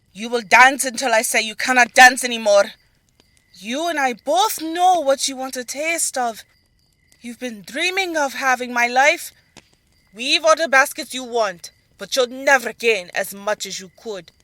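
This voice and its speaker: A woman puts on a fiery elder sister for an audio drama. The voice is bright and forceful, with a commanding, intense manner. She sounds bossy and stern, sure she knows what is best. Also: sits high, a little slow, no room sound, pitched above the performer's own voice. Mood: angry, forceful.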